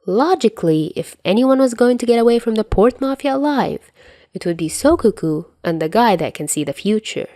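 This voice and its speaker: A woman reading fiction aloud as an expressive storyteller. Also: a little high, a little fast, dry recording, studio quality. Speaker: podfic narrator.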